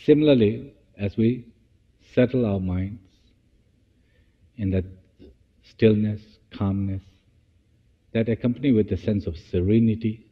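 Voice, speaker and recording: Slow, low-voiced man guiding meditation, echoey sound. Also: husky. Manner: halting, phrase-by-phrase delivery with restarts. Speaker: meditation teacher. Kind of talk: guided meditation. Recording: dull, echoey hall-like sound.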